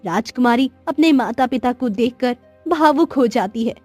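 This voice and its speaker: A woman's voice: fairly high pitch, lively intonation, normal speed, darkish tone, soft background music. A woman narrating a scripted cartoon story as storyteller.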